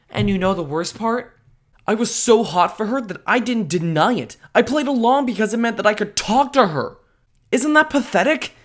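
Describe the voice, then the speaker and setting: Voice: mid-range voice, natural voice. A performer playing a sarcastic, insecure teenage boy who narrates his would-be superhero life with wry self-mockery in a comic dub. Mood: self-pitying. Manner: wry, self-mocking, expressive. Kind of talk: first-person narration and comic dub acting. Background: music.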